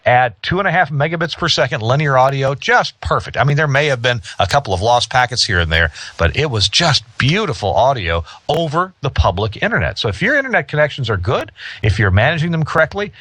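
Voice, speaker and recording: Animated man explaining audio gear. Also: mid-range voice, fairly quick, no room sound. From United States. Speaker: audio equipment company representative. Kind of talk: conversational product explanation.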